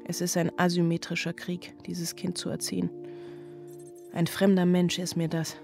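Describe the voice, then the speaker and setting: Weary single mother, radio drama. Lower female register, inward and brooding, music behind. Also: monotone, moderate pace, gravelly. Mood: weary, tender. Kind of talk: radio drama interior monologue.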